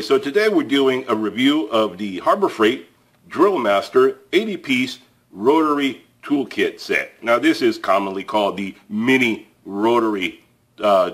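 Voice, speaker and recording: A man's voice: fairly low pitch, a bit bright. Chatty man reviewing tools. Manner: chatty and plain-spoken, frequent fillers and restarts. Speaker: tool review channel host. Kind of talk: casual product review to camera. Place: indoors at a table, filmed from above.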